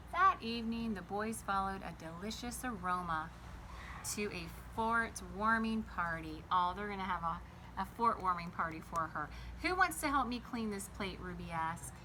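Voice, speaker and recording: A woman reading a picture book aloud to children like a teacher, pausing to explain and ask them simple questions. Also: on the low side, background noise. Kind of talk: storybook read-aloud with explanatory asides.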